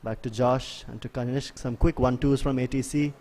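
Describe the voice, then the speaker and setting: Mellow man calling a match. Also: mid-range voice, steady tone, brisk. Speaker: sports commentator. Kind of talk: live play-by-play commentary of an ultimate frisbee match.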